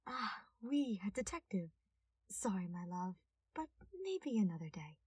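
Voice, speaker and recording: Woman acting as flirtatious male gentleman thief, smug, suave, theatrical, audiobook character, dry close-room sound. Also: medium pitch, sing-song, a little slow, slightly rough, dry recording, distant mic. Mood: charming, smug. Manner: flirtatious, smug, uses French phrases.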